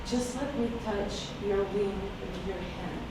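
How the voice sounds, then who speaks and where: A woman's voice: medium pitch, slow pace. Russian-speaking woman reading her poem, kinda shaky and emotional, far off mic, noisy echoey room. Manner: hesitant, emotional, many fillers. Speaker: poet reading her work. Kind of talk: poetry reading with spoken intro. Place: echoey hall, recorded from afar.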